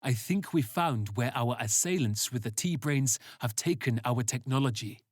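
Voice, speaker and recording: A solemn fighter from a sci-fi audio story, voiced by a narrator. The warnings come measured and grave, in a close, dry sound. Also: medium pitch, expressive, no room sound, natural voice.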